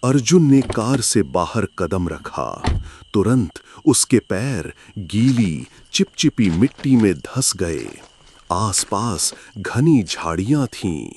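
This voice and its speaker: A man narrating a scripted horror story in a suspenseful, deliberate, dramatic manner. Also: on the low side, very animated, darkish tone, slight background noise.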